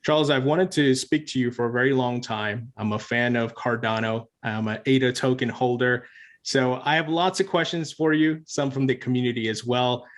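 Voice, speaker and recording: A man's voice: mid-range voice, fairly even intonation, normal speed. A man hosting a crypto interview channel, reading a sponsor spot and asking his guest questions in an earnest, eager way. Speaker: interview host.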